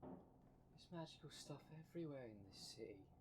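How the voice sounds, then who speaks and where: A man's voice: medium pitch, lively intonation, normal speed, over music, close to an everyday voice. A man playing the impatient, sarcastic half of a dream demon in an ASMR roleplay, blunt and slangy. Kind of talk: ASMR roleplay audio drama.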